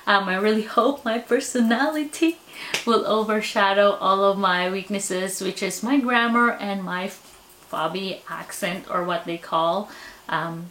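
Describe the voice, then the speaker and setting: A woman's voice: medium pitch, bright, forceful tone. Filipino-accented woman vlogging in an echoey room. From Philippines. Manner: candid, reflective, self-deprecating. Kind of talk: candid personal vlog.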